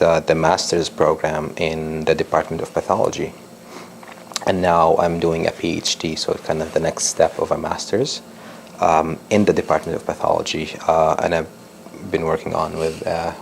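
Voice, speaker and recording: A man's voice: rumbling low, little pitch movement, normal speed, vocal fry, a little hiss or hum. A young man from Lebanon, a doctoral researcher, giving a public science talk with many ums and restarts.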